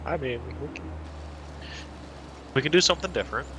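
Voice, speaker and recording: A man's voice: on the high side, expressive, a little fast, pushed and bright, a little hiss or hum. A man playing along on a gaming stream, easygoing and matter-of-fact in casual chat. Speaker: co-player on stream.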